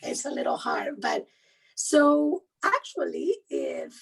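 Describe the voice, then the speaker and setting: A woman from Spain with a strong Spanish accent, a guest attorney answering interview questions quickly and earnestly, often restarting as she searches for English words. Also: high-pitched, huge pitch swings, gravelly. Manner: fast, earnest, self-correcting, searches for words. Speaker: guest, human rights attorney. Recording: distant, garbled video call audio.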